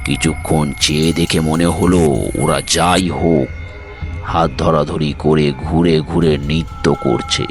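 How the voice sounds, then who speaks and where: A man's voice: rumbling low, level tone, slow, over music. A man narrating a scripted story as a dramatic storyteller.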